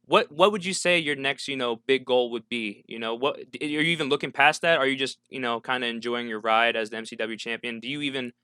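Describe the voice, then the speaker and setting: A man's voice: mid-range voice, fairly quick, few pauses, pushed and bright, smooth, no room sound, clean studio sound. A man co-hosting a casual interview podcast, asking long, meandering questions. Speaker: podcast co-host.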